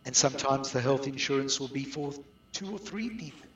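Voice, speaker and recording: A man's voice: medium pitch, animated, brisk, fairly bright tone. South African man in an echoey room. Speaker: guest outsourcing business expert. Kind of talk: conversational interview answers. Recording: roomy echo, distant from mic.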